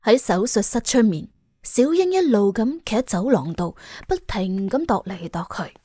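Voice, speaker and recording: A woman's voice: medium pitch, animated, normal speed, dark tone, husky. A woman with a Cantonese accent reading a scripted suspense audiobook as its narrator.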